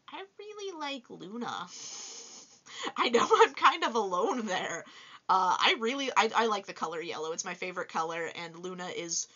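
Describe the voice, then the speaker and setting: A woman in her twenties with a low voice, chatting casually and upbeat in a vlog, with many fillers. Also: pushed and bright, dull audio. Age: 20s. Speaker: toy reviewer and vlogger. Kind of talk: casual vlog answering viewer questions. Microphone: voice-over with face unseen.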